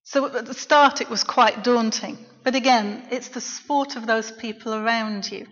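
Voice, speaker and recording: A middle-aged woman, a local preacher, giving a quiet, reflective personal testimony in church. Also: a little high, normal speed. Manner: soft-spoken, reflective. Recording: dull, echoey church recording.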